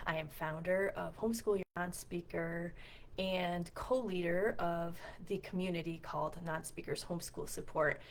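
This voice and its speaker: Middle aged lady doing a casual vlog, kinda scratchy voice, on the low side, earnest, asks viewers stuff. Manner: earnest, direct address with questions to viewers. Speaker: homeschool community founder and co-leader. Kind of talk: casual direct-to-camera vlog talk.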